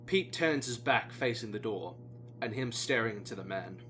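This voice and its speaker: A man narrates an audio play from a script. His voice is bright, and the recording carries faint noise and a hum behind him. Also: fairly low pitch, expressive, normal speed. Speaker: narrator and writer of audio plays.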